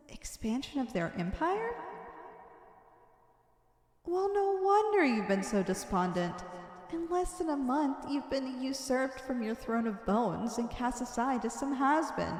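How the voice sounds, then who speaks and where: Villainess, played by a woman, fairly high voice, wicked and obsessively devoted, ornate theatrical monologue for ASMR roleplay, reverberant hall sound. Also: very animated, slow, long pauses, darkish tone, heavily acted. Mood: incredulous dismay. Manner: grandiose, ornate, fervent delivery. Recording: echoey, long reverberant hall effect.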